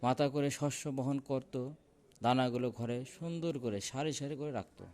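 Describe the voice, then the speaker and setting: A man's voice: medium pitch, normal speed, darkish tone. A man reading a scripted fable as a storyteller.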